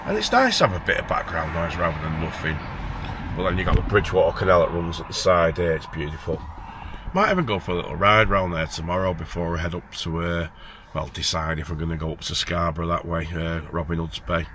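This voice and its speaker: A man in his late forties with a low voice and a Northern English accent talks casually to his viewers. He thinks aloud in an informal, rambling, unscripted way. Clear traffic and vehicle noise runs behind his speech. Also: expressive, fast talker, a bit bright. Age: late 40s. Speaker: van-life vlogger. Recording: noisy, traffic and vehicle ambience.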